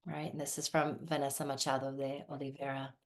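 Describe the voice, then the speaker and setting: Woman, 40s, from Lebanon, raised in the US; low-pitched, reflective workshop facilitator who keeps checking in with the room. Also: little pitch movement, fairly quick. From Lebanon, raised in the United States. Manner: thoughtful, often checks in with "right?". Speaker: somatic movement practitioner leading a workshop.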